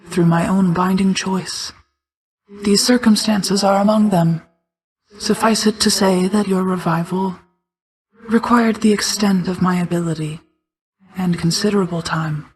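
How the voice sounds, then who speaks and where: A woman voicing a solemn, regal night goddess and protective mother figure in a video game, speaking in a formal, measured, restrained way. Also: lowish voice, darkish tone, lightly acted, rough recording. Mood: solemn, caring. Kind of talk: scripted video game character dialogue.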